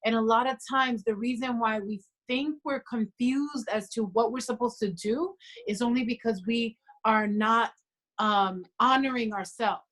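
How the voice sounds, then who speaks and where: A woman in her forties talks as a podcast guest, earnest and reflective. Her voice sits a little high. She speaks with quiet conviction. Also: measured pace, low-quality recording. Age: 40s. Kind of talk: casual conversation.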